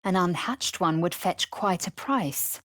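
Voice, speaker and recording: A woman voicing a proud, fierce, imperious githyanki warrior in a video game, with a husky, raspy voice and a clipped, haughty, formal manner. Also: fairly low pitch, expressive, measured pace, a bit bright, dry recording. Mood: measured, grave. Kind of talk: game voice acting, short scripted lines.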